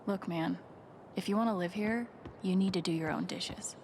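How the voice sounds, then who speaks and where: An actress playing a young woman in a video game, wry and wistful, musing quietly to herself. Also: normal speed, a little hiss or hum, natural voice. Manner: low-key, musing to herself. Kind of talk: naturalistic video game voice acting, inner monologue.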